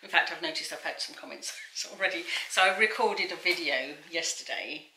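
A woman's voice: on the low side, fairly quick, bright, forceful tone, echoey room, low-quality recording. A woman in her fifties hosting a casual crafting chat, chatty with many fillers and agreeing murmurs, filmed on a sofa by a camera across a small room. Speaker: crochet vlogger host.

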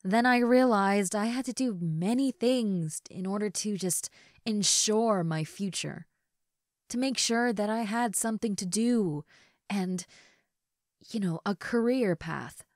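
A woman acting a tender, regretful young substitute teacher in an intimate roleplay monologue, speaking hesitantly with soft sighs on a close mic. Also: mid-range voice, unhurried, no echo, lightly acted. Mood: guilty, earnest. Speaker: roleplay audio voice actress. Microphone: close studio mic, dry.

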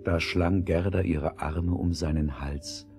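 A man narrating a scripted fairy tale in a measured, expressive storytelling manner. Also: low voice. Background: music.

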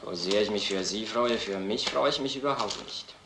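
An actor playing a dutiful police desk assistant with a clipped, official manner in a crime drama. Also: male voice, fairly low pitch, moderate pace, natural voice. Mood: businesslike. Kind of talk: German film crime drama acting. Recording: echoey, noisy old film soundtrack.

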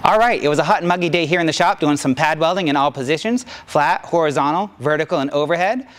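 A man's voice: on the high side, fast talker, fairly bright tone. A middle-aged man working as a welding instructor, explaining a hands-on demonstration to camera in a plain-spoken, practical way. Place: large indoor welding shop.

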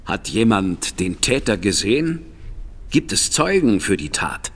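A man's voice: on the low side, big pitch swings, measured pace. An actor playing a shrewd, composed male detective in a German audio drama, formal, courteous and inquisitive. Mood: probing.

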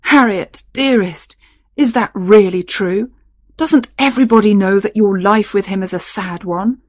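A woman narrator voicing a lovelorn gentleman captain, earnest and romantic, in a storybook. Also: mid-range voice, a little slow, no echo, highs cut off, natural voice. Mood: ardent, concerned. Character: ardent, pleading gentleman captain in love.

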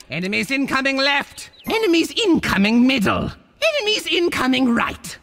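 Manic high-pitched cartoon monster villain. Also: male voice, vocal fry, bright, forceful tone, heavily acted. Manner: hammy, swooping, villainous delivery. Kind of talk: cartoon game voice lines.

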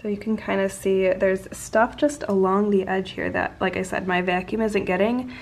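A woman's voice: mid-range voice, creaky, a little mellow, slight background noise. A woman, giving a casual, explanatory voiceover as a home vlogger.

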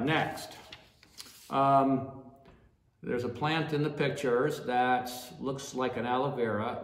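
Older man teaching plants, echoey room. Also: mid-range voice. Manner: chatty, checks in with "all right?" and "okay?" often. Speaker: plant educator. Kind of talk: informal botany class. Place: small office room at a desk.

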